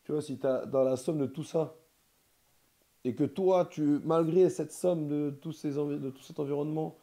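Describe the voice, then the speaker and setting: A man's voice: fairly high pitch, fairly even intonation, moderate pace, mellow. A man in casual conversation, talking in a rambling, emphatic way with lots of slang. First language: Portuguese heritage, quasi bilingual.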